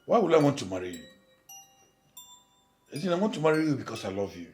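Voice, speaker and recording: A man playing a courtly, persistent older village chief with a Nigerian accent in a film drama, speaking in a deliberate, declamatory way. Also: mid-range voice, big pitch swings, darkish tone, faint music behind, close to an everyday voice. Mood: earnest, persuasive. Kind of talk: naturalistic film drama dialogue.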